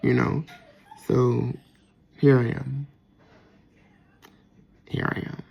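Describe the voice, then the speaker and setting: A man with a soft, gentle voice that carries a gravelly, creaky texture and a husky, slightly breathy edge. He muses out loud in a casual, personal monologue, stopping and restarting as he gathers his thoughts. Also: medium pitch, level tone, very slow, lo-fi sound. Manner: reflective, with frequent fillers and restarts. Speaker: vlogger.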